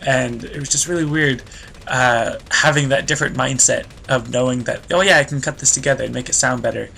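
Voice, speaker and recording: Bright-voiced rambling young gamer guy. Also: medium pitch, fairly quick, gravelly, slight background noise. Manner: rambling, loses train of thought, self-deprecating. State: stuffy nose, voice a bit worn. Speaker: let's play host. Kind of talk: rambling gameplay commentary.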